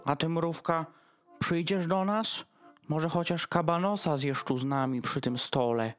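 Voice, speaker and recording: A man's voice: on the high side, measured pace, natural voice. A man playing a doting, long-winded dog host in a rhymed radio play, speaking in a ceremonious manner. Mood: hospitable. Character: Freddy, doting, long-winded male dog hosting Christmas dinner.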